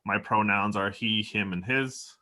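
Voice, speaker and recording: Man, from El Salvador, moderator, earnest, reflective, prepared remarks, bright forward tone. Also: lowish voice, fairly even intonation, unhurried. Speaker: moderator, doctoral student.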